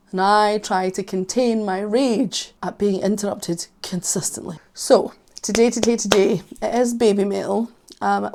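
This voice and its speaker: A woman's voice: mid-range voice, creaky, mellow. A Scottish woman in her thirties, a vocal coach giving a casual music reaction with sarcastic, wry asides, while losing her voice. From Scotland.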